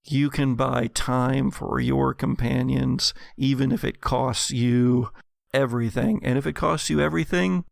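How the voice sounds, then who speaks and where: A man narrating a tabletop horror game as game master, with dramatic, deliberate storytelling addressed to the players. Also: medium pitch, fairly even intonation, vocal fry, dry recording, clean studio sound.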